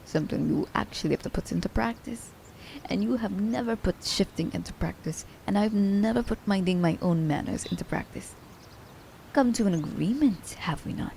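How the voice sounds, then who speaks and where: A woman playing a cunning, haughty immortal assassin in an ASMR audio drama, speaking in theatrical, formal diction, breathy and often dropping toward a whisper. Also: mid-range voice, moderate pace, dark tone, slight background noise, natural voice, low-quality recording. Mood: teasing, haughty. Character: immortal female assassin, cunning, teasing, haughty, romancing her captive.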